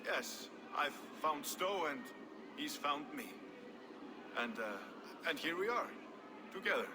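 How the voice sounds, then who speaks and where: A man playing a salty, wry medieval warrior in a game cutscene, talking in an animated, colloquial way. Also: on the high side, takes it slowly, background noise. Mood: sheepish. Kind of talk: dramatic video game cutscene acting.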